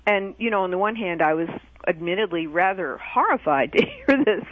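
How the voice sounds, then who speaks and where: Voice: medium pitch, sing-song, normal speed, no room sound. A radio interview host asking questions hesitantly, with many fillers and restarts. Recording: tinny, dry phone-like radio line.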